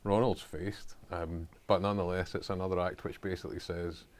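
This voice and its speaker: A man hosting a podcast conversation, speaking thoughtfully and pausing often with hesitant ums. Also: deep voice, little pitch movement. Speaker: podcast host.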